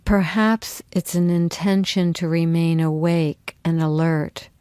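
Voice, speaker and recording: A woman teacher leading a guided yoga relaxation in a soothing, unhurried, instructional manner. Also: fairly low pitch, flat delivery, warm tone, clean tone, no room sound, studio quality.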